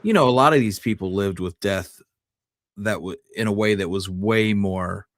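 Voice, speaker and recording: Low voiced guy giving his take on history, podcast chat, dry close sound. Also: normal speed, no room sound. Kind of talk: casual, opinionated chat.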